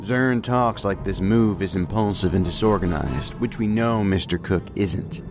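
An actor playing a skeptical counterterrorism agent in an audio drama, blunt, sardonic and impatient. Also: male voice, sits low, measured pace, over music. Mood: uneasy. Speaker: federal agent.

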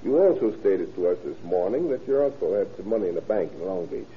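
An actor playing a probing, wry and stern American police lieutenant in an old-time radio detective drama, controlled and authoritative. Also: male voice, sits low, lively intonation, fast talker. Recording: tinny, noisy vintage radio recording.

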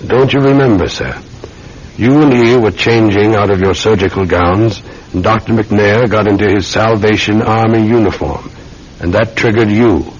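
A man's voice: fairly low pitch, moderate pace, slightly rough. A man acting an earnest, methodical Scotland Yard sergeant in a classic radio drama, questioning politely and insistently. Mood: earnest, probing. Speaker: actor in a radio mystery serial. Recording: muffled old radio recording with faint hiss.

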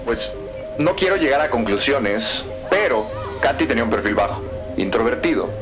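A man playing a cautious, analytical police data analyst in an audio drama, explaining things deliberately. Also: mid-range voice, lively intonation, over music, lightly acted, poor audio.

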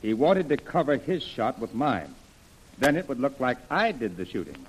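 An actor playing an earnest, troubled military major in a 1930s radio adventure serial. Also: male voice, medium pitch, big pitch swings, normal speed, slightly rough, low-quality recording. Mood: insistent explaining. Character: troubled, earnest major, a grown man explaining himself. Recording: old radio recording.

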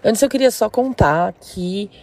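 A woman's voice: medium pitch, animated, a little slow, darkish tone. A woman in her thirties with a São Paulo state Brazilian accent, chattily telling a personal story as a listener calling in to a show. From interior of São Paulo state, Brazil. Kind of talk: casual spoken storytelling.

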